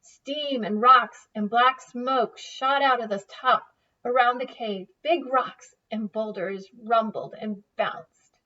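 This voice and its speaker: A woman's voice: a little high, takes it slowly, bright, forceful tone. A woman teacher reading a children's picture book aloud expressively, in a distant, slightly muffled recording.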